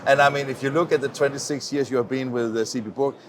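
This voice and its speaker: Guy doing an expo interview, kinda halting chatty delivery, bit of noise behind him. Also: medium pitch, fairly even intonation. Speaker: interviewer for a web TV channel. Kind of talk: on-camera trade show interview. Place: trade show floor. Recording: faint background noise.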